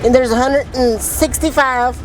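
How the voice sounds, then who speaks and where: A woman's voice: high-pitched, steady tone, moderate pace, poor audio. A woman in her twenties hosting a casual vlog, speaking in an informal, slangy, exclamatory way. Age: 20s. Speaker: vlog host.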